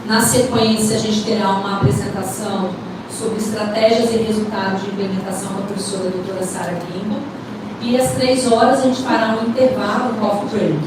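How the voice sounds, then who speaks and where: A woman's voice: medium pitch, noisy background. A woman hosting a seminar, introducing the speakers hesitantly and with many fillers. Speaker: seminar host. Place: lecture hall. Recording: echoey hall from far off.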